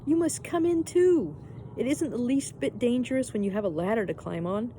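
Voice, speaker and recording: A woman's voice: on the high side, lively intonation, normal speed, mellow, slight background noise, pitched above the performer's own voice, natural voice. A woman voicing an eager, adventurous young boy who calls out keenly and earnestly in a storybook reading. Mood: eager, coaxing. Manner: keen, earnest calling out.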